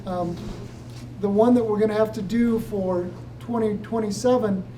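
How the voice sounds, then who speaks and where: A soft-spoken woman presenting at a public meeting, explaining with many fillers and frequent "certainly.". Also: medium pitch, fairly even intonation, normal speed. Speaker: presenter answering questions. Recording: distant mic with faint room noise.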